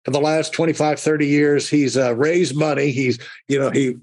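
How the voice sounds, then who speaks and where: Husky male podcast host, higher-pitched. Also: steady tone. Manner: halting, rambling, keeps rephrasing. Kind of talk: conversational podcast interview.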